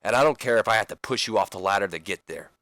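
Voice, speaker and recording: A man's voice: on the low side, fast, a bit bright, no echo, close to an everyday voice. A man playing a frustrated wrestler desperate to break through, speaking in an insistent, exasperated promo style. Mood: desperate, determined.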